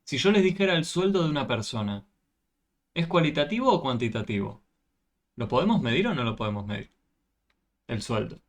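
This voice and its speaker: A man's voice: medium pitch, very animated, brisk, pauses often, slight background noise. Patient man tutoring math. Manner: patient, asks frequent check questions. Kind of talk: online class explanation.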